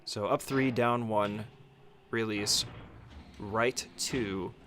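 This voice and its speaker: A man's voice: fairly low pitch, fairly even intonation, extremely slow, a bit bright, a little hiss or hum. A man giving a casual, step-by-step speedrun tutorial as commentary, speaking informally and giving short instructions.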